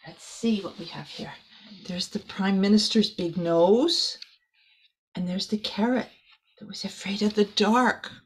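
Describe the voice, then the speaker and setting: A woman's voice: mid-range voice, measured pace, slightly rough, low-quality recording. A middle-aged woman reads a children's picture book aloud expressively, with casual asides to young listeners, in a small room.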